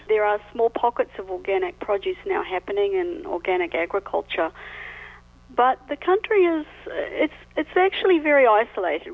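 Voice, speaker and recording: A middle-aged woman from New Zealand with a New Zealand accent, answering questions as an expert guest in a friendly, measured way over the phone. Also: mid-range voice. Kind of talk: phone interview. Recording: tinny phone line.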